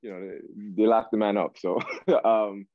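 Man, low voice, dull call audio. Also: fairly even intonation, no room sound. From Canada, raised in Toronto. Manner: halting, slangy, searching for words. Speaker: guest R&B singer. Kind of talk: casual interview chat. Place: small room, indoors. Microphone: video call, device at arm's length.

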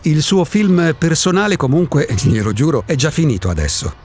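A man's voice: a little high, very animated, normal speed, faint music behind. An actor in a radio drama playing an eccentric, solitary man who insists he does not exist, philosophizing in a provocative, impatient way. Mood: amused. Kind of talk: Italian radio drama acting.

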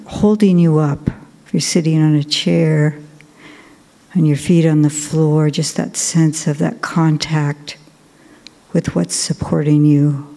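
A woman, a Buddhist nun, guiding a meditation in a slow, gentle, soft-spoken way with long pauses. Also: sits low, creaky. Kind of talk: guided meditation. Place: large cathedral. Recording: long cathedral reverb.

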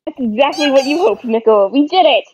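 A woman's voice: sits high, lively intonation, a little fast, a little mellow, slight background noise, rough recording. A woman performing an exclamatory amateur cartoon fandub.

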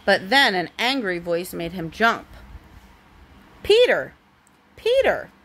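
A woman's voice: fairly low pitch, animated, a little slow, sharp tone. A woman reading a storybook aloud expressively to a young child, as a parent does at bedtime.

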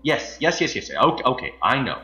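A man's voice: medium pitch, very animated, brisk, dull audio. A man playing a bossy, self-important bank robber gang leader in a comedy audio drama, theatrical, sarcastic and foul-mouthed. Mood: flustered, placating. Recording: loud music behind the voice, roomy echo.

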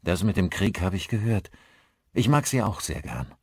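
A man's voice: deep voice, big pitch swings, normal speed, slightly rough, no echo, studio quality, full character voice. A man playing a polite, inquisitive newcomer to a village in an audiobook, speaking casually. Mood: curious.

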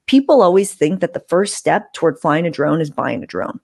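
A woman's voice: low voice, big pitch swings, fairly quick, vocal fry, a little mellow, slightly rough. A woman hosting a podcast in casual, chatty style, acting out little asides as she talks on a close desk mic. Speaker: podcast host interviewer. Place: indoor room on a video call. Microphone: close desktop mic with furry windscreen.

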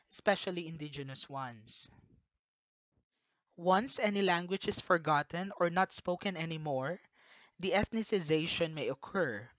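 A speaker from the Philippines with a Filipino accent, giving an earnest, measured slideshow lecture. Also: medium pitch, a bit bright, no echo. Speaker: presenter of a recorded lecture. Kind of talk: prepared slideshow narration. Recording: dull lo-fi voice-over, dry.

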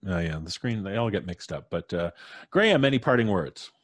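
A man's voice: low-pitched, sing-song, brisk, dry recording. A middle-aged man casually hosting a live stream, speaking into a studio microphone. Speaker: podcast host. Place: home recording booth on a video call. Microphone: studio mic on boom arm.

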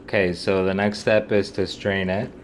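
A man speaks casually in a very low register. He is caught on a handheld phone at home, with clear background noise. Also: little pitch movement, moderate pace. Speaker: parent helping in a child's home video. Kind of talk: casual chat. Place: indoors, home kitchen and living room. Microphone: handheld phone, off to the side.

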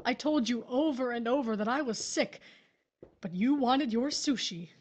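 An actress playing a grieving, embittered widow in an audio drama, stubborn, torn and exasperated. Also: female voice, on the high side, moderate pace, natural voice. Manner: confrontational, exasperated.

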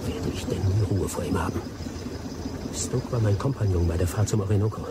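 An actor playing a bitter, vengeful adventurer in a German radio play, telling things with dramatic emphasis. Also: male voice, low-pitched, brisk, very noisy, natural voice. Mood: bitter recounting. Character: bitter, vengeful adventurer Anthony, a betrayed Englishman.

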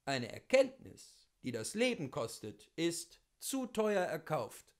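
A man reading as a witty, worldly philosopher of seventy-two, telling his memoirs in a theatrical, rhetorical and deliberate literary style. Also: on the high side, very animated, full character voice. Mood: reflective, wry.

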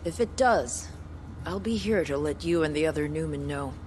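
A woman's voice: low-pitched, animated, normal speed, darkish tone, slight background noise. An actress playing a blunt, spiritual, resolute woman survivor in a video game cutscene, with a matter-of-fact delivery. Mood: frank, defiant.

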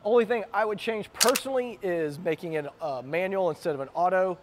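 Enthusiastic chatty man, higher voice. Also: brisk, background noise. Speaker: car vlogger. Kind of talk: casual walkaround commentary. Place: indoor car warehouse.

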